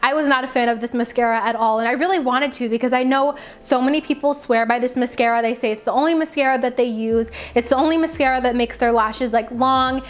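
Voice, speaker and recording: Woman, higher-pitched voice, beauty vlogger, casual product review, conversational, emphatic repetition, slightly tired raspy edge. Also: quick pace, few pauses.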